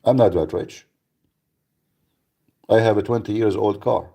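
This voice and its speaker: A man's voice: on the low side, measured pace, gravelly, warm tone, breathy edge. A man hosting a live radio talk, speaking bluntly and emphatically, with frequent repeats and restarts. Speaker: radio show host.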